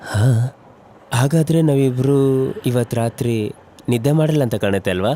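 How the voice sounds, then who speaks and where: A man voicing a young male train traveller in a horror story, chatty and expressive with some hesitation. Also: medium pitch, unhurried, slight background noise, lightly acted. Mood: friendly, eager. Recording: music behind the voice.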